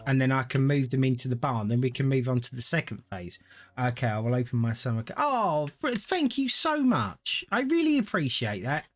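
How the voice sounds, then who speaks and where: Guy rambling thru a game stream, mid pitch, bouncy voice, chats to his viewers. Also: sing-song, fairly quick. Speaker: gaming streamer. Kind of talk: casual live-stream gameplay commentary.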